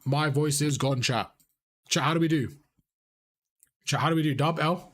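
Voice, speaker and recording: A man's voice: on the high side, moderate pace. A young man coaching players and chatting with his live-stream audience in a casual, repetitive way, his voice strained from talking. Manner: chatty, repeats phrases, addresses chat as bro.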